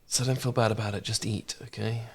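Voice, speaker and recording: Casting: male actor as easygoing, fond housemate for ASMR romance audio; lowish voice, soft, unhurried, reassuring. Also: little pitch movement, husky. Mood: gentle concern. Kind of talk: intimate ASMR romance roleplay.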